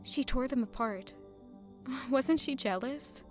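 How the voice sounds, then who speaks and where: High-voiced woman as an affectionate young sweetheart. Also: takes it slowly, soft background music. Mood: tender, devoted. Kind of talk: audio drama acting.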